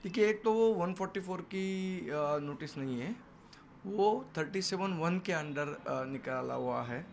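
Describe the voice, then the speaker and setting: Male party spokesman, higher-set voice. Assertive news-interview answers, light ambient noise. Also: expressive, unhurried, creaky. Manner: assertive, defiant, emphatic repetition. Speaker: political party leader and spokesman. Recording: noisy location sound, background ambience.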